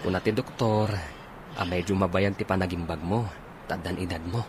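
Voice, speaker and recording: Guy acting young boyfriend, low voice, hesitant but caring, radio drama, birdsong behind. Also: steady tone, moderate pace, background noise, natural voice. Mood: soothing. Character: attentive young boyfriend of an older woman, hesitant.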